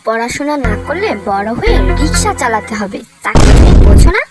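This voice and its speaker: A bossy, high-pitched cartoon female, acted by a woman, stern and haughty in manner. Music plays under her voice. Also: big pitch swings, a little fast. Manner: scolding, bossy. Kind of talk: cartoon voice acting.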